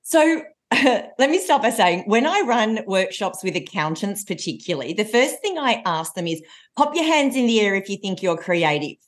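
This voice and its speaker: A woman, a coach telling stories in a podcast interview with a loud, lively voice. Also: mid-range voice, moderate pace. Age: 40s. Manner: lively storyteller. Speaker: coach and trainer.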